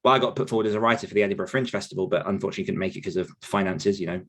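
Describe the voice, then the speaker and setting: A man's voice: low voice, racing, breathy edge. A British man in his thirties telling his own career story in a casual, rambling, chatty interview style. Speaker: podcast guest, author and writing coach.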